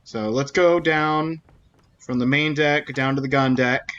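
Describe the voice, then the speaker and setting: Casting: male streamer, relaxed gameplay commentary, unhurried musing delivery, muffled lo-fi mic. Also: medium pitch, clean tone. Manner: thinking aloud, reasoning through clues.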